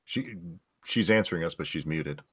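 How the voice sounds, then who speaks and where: A man's voice: very deep voice, moderate pace, a bit bright, slightly rough. A man in his forties co-hosting a casual online chat show, trading playful jokes. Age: 40s. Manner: playful, jokey banter. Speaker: livestream co-host.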